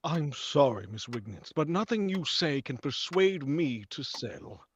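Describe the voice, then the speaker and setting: A man's voice: a little high, very animated, a little slow. A man playing a stubborn, sceptical and suspicious house buyer in 1940s radio drama style, on a lo-fi recording. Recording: dull lo-fi sound with faint noise.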